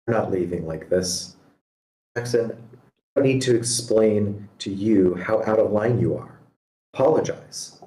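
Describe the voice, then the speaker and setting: A man playing a stern, patient mediator in a script read, asking firm, measured questions over an online call. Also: lowish voice, mellow, close to an everyday voice. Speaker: amateur actor reading a part. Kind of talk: screenplay table read, dramatic dialogue. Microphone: online meeting call. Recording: roomy, echoing home microphone.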